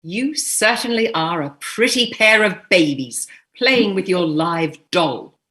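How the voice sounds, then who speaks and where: A woman playing a shrewd older society mother in a staged comedy reading, fondly scolding with crisp, pointed phrasing. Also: on the low side, animated, slow, fairly bright tone. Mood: probing, wry.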